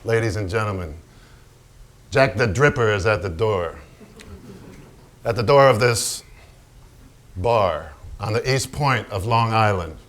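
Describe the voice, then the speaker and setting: An actor playing a boozy, swaggering, profane genius painter in a theatrical staged reading, declaiming with crude, sardonic bite. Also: male voice, fairly low pitch, expressive, a little slow, pauses often, a bit bright. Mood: brooding, boastful.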